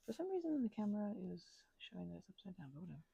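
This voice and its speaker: A woman rambling casually as an ASMR host, mumbling in a low-key, musing way, her voice hushed and often slipping toward a whisper. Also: sing-song, rapid-fire, gravelly, slightly rough, no echo, lo-fi sound. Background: keyboard clicking.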